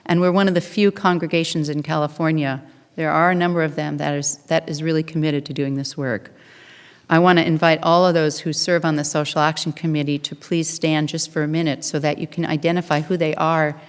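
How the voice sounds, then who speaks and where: A woman's voice: sits low, steady tone, a little fast, clean tone. An older woman hosting an event, giving announcements to an audience as a congregation leader. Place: synagogue sanctuary, microphone on stand at podium. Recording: reverberant hall, podium microphone.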